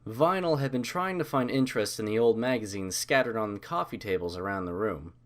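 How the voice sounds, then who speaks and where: A man's voice: on the low side, expressive, fairly quick. A man reading a scripted story as its narrator.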